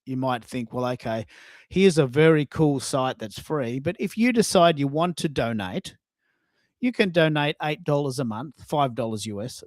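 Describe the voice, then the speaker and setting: A man's voice: on the high side, no room sound. A man hosting a live coaching talk, repeating words for emphasis. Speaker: host and social media coach. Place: dry room, online live stream.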